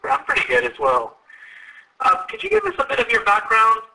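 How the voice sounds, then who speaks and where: A man's voice: very high voice, wildly swooping pitch, a little fast, sharp tone, low-quality recording. A man hosting an interview, asking his guest friendly, curious questions. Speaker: host. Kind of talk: casual interview.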